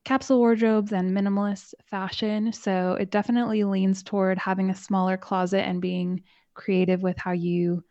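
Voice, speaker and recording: Woman, soft voice, calm, very even pitch, slightly dull sound. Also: no room sound. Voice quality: soft-spoken. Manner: calm, measured, soothing. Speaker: podcast host and guest. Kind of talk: casual interview chat.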